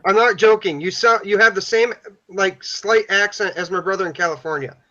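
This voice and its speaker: A man's voice: high voice, normal speed, pushed and bright. A man with a Michigan accent shifting toward the Upper Peninsula, chatting casually on a livestream call. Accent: Michigan, turning Upper Peninsula. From downstate Michigan. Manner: chatty, repeats words. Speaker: livestream guest. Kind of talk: casual chat. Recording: dull lo-fi stream audio.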